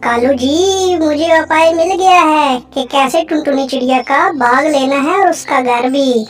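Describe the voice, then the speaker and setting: A scheming female crow from a cartoon fable, voiced by a woman. The voice is high, and she is a wicked plotter. Music plays faintly underneath. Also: unhurried. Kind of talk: Hindi cartoon moral story dialogue.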